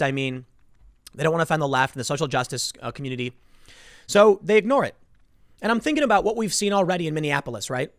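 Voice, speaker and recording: A man's voice: a little high, fairly bright tone, no room sound, clean studio sound. A man giving impassioned solo political commentary as a host, emphatic and indignant, repeating phrases for stress.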